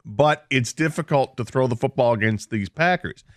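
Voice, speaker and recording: A man's voice: mid-range voice, normal speed, no echo, clean studio sound. A man co-hosting a sports radio show, breaking down a football game in a casual, analytical way. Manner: analytical, confident picks. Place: radio studio.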